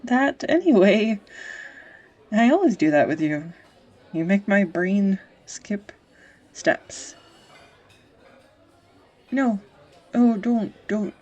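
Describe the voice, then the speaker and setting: A woman acting an anxious, tender young woman in an intimate audio roleplay, speaking in a halting, confessional ramble on a close microphone. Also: medium pitch, creaky, dark tone, slightly rough. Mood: flustered. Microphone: close USB studio microphone.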